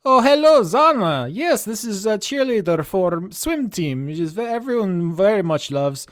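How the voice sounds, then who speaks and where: A goofy, overconfident transfer student, as a man puts him on, in a thick Ukrainian accent. He gushes in broken grammar, and the voice sits high and loud with a dry sound. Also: very animated, moderate pace, no echo. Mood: rhapsodic. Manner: earnest, rhapsodic, broken grammar.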